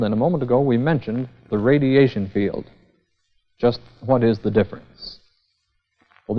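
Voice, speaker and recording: Dull vintage film recording, male instructor. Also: mid-range voice. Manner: methodical, explanatory, formal. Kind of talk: scripted technical lecture in a vintage educational film. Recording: dull, band-limited vintage 16mm film sound.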